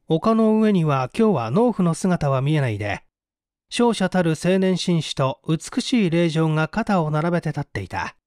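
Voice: mid-range voice, lively intonation, normal speed, darkish tone, no room sound, studio quality. A narrator reading a mystery novel aloud as scripted literary storytelling. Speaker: audiobook reader.

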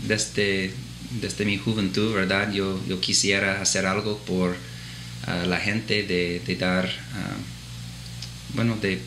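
A man's voice: low voice, level tone, slow pace, roomy sound, noisy background. A man in his thirties, a physician assistant, speaking Spanish with an American accent learned in the Dominican Republic, hesitating and restarting often in a clinic office interview. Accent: American English speaker with Dominican-learned Spanish. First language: English. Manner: hesitant, many filler sounds and restarts. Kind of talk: interview answers.

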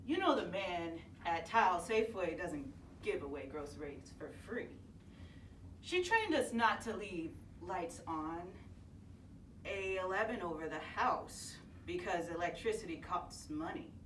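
A middle-aged woman reading a poem aloud in a measured, expressive way, giving voice to a mother's sayings. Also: on the low side, slight background noise. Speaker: poetry reader. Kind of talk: slow poetry reading. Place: gallery room, indoors. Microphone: far camera. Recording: echoey room, distant mic.

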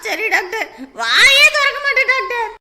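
A woman playing a loud, whiny, quarrelsome wife with a wailing, melodramatic delivery in a comic family skit. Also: very high voice, very animated, moderate pace, exaggerated voice. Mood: pleading.

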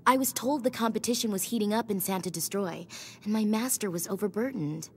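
A woman's voice: medium pitch, brisk, darkish tone, natural voice. An actress playing a young, lethal, self-assured girl assassin in a video game, blunt and devoted. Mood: earnest. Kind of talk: video game cutscene acting. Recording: game audio with faint ambient noise.

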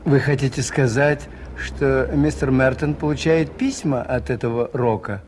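An actor playing a polite, inquisitive, mild-mannered priest detective in a radio play, courteous and gently hesitant. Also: male voice, medium pitch, a little slow, lightly acted. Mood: inquiring. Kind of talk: Soviet radio play acting. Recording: faintly noisy 1980s radio recording.

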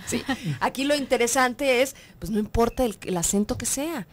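A woman's voice: on the high side, normal speed. A young Mexican woman with a Mexican Spanish accent, hosting a morning radio talk show, chatty and full of questions. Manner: chatty, asking questions, greeting listeners. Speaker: radio host. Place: radio studio. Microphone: studio microphone on a boom.

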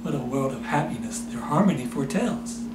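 A man's voice: mid-range voice, expressive, fairly quick. A man in his sixties giving an intense, theatrical poetry recitation, drawing out repeated words. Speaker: poetry performer. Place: small indoor room. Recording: echoey room, loud background noise.

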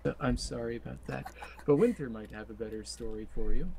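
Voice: mid-range voice, brisk, creaky, dark tone, a little hiss or hum. A fellow player chatting casually on a game livestream, hesitant with fillers and dry jokes. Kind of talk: casual live gameplay chat.

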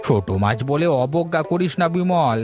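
An older village fisherman gives homely advice in a cartoon, voiced by a man. His voice is a touch high. Soft music plays beneath. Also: expressive, moderate pace, lightly acted. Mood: chatty. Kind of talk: cartoon voice acting. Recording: faint music under the voice.

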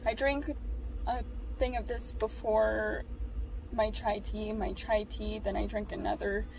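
Young woman rambling slow in her parked car, lots of ums, phone a bit far off, faint hum behind. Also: medium pitch, little pitch movement, creaky, darkish tone. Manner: rambling, hesitant with many ums. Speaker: vlogger. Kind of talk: casual vlog chat. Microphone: phone camera propped low in the car.

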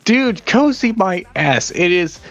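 A man's voice: high voice, big pitch swings, slow, lo-fi sound, exaggerated voice. A man voicing a nerdy male tech sidekick in an improvised comedy fan dub, scolding and swearing.

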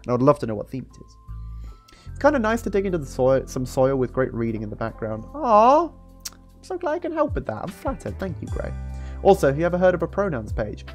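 Young guy streamer reading and chatting, expressive, close mic, soft music behind. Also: fairly high pitch, fairly quick. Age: 20s. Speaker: streamer reading a book aloud. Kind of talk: storytelling and casual livestream chat. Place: small indoor room. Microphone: close studio microphone on an arm, with headset. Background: background music.

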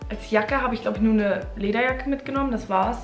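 A woman's voice: fairly high pitch, brisk, roomy sound, faint music behind. A young woman vlogging in a home living room, chatting casually and hesitantly with many fillers. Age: young adult. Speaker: au pair vlogger. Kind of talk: casual chat.

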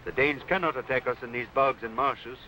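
A man's voice: medium pitch, a little fast, pushed and bright, natural voice. An actor playing a grave, noble medieval Saxon king in a declamatory, archaic style for 1950s TV drama. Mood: grave, troubled. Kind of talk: 1950s TV historical drama acting. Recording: faintly noisy old film soundtrack.

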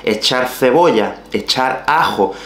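Man, 30s, Spain Spanish accent, expressive language teacher, mid-pitched, roomy home recording. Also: slow. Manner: lively, expressive, playful asides. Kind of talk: teaching Spanish vocabulary to camera. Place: small bright room at home.

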